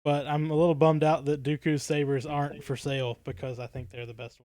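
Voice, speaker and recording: A man's voice: fairly high pitch, level tone, brisk, darkish tone, no echo. A man around his thirties co-hosting a casual livestream chat, rambling along in a jokey, tongue-in-cheek way. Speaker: podcast co-host.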